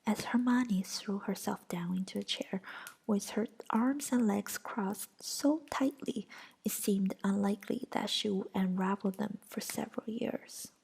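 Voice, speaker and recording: A woman narrating a story aloud in a soft, unvoiced whisper. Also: mid-range voice, a little slow, vocal fry, breathy edge, poor audio. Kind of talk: whispered audiobook reading.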